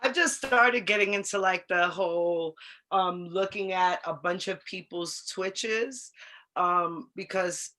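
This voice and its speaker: An American woman chatting and telling stories casually as a co-host, in an animated, slangy, expressive way. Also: on the low side, takes it slowly. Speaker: co-host of an online talk show. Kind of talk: casual chat and storytelling.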